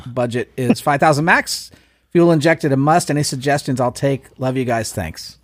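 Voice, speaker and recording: A man's voice: medium pitch, normal speed, no echo. A man with a New Jersey accent reading a listener email aloud on a podcast and laughing through it.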